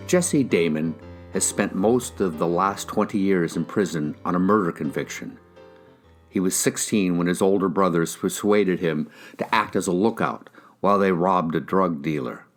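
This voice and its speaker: Low-voiced American man narrating crime fiction. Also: moderate pace, soft background music. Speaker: audiobook reader, actor. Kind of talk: scripted first-person crime fiction narration.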